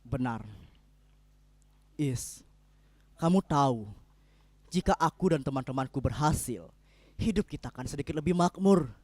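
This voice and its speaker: An actor playing an anxious, idealistic husband in a dramatic reading, speaking in an earnest, apologetic manner. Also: male voice, fairly high pitch, animated, unhurried, long pauses, a little mellow, dry recording. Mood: earnest, resolute. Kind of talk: dramatic reading of a stage play.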